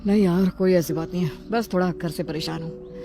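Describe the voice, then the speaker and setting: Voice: medium pitch, a little fast, gravelly, over music, close to an everyday voice. A performer voicing an arrogant, scornful young husband who talks harshly and haughtily in a storybook drama. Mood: troubled.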